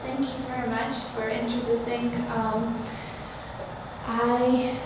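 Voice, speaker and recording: A woman's voice: on the high side, flat delivery, slow. Hesitant woman interviewer, very echoey and distant. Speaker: oral history archivist, interviewer. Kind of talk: informal recorded interview. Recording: very echoey room, distant mic, noisy.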